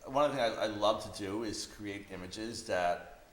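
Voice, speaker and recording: Deep-voiced man in a roomy space. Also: moderate pace, fairly bright tone. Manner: reflective, peppered with "you know". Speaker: visual artist being interviewed. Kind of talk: casual conversation. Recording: roomy echo, faint background noise.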